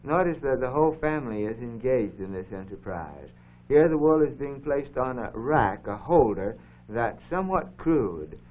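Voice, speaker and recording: A man giving formal, measured explanatory commentary as co-host of an educational program, on a 1950s broadcast recording. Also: medium pitch, big pitch swings, phone-quality sound. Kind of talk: unscripted explanatory commentary over film footage.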